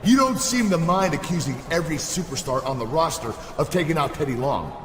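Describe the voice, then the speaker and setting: A man's voice: on the high side, lively intonation, brisk, lightly acted. A man playing a smug, sarcastic wrestler with a taunting manner in a video game. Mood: mocking. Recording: echoey hall with noise.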